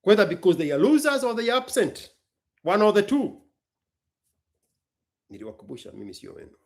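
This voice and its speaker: A man's voice: high voice, wildly swooping pitch, brisk, gravelly. A man hosting a live stream, challenging his viewers in a combative way. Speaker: livestream host and rapper. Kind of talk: live stream talk mixing rap and opinion.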